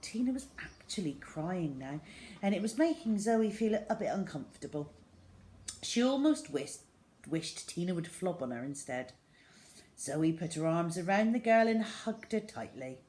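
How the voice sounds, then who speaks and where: Very lively woman storyteller. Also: on the low side, moderate pace. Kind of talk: expressive children's story reading.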